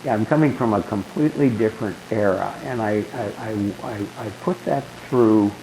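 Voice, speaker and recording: An older man with a low, growly bass voice, talking casually in a group and rambling through stories with many restarts. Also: normal speed, gravelly, noisy background. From Southern California. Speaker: guest being asked questions.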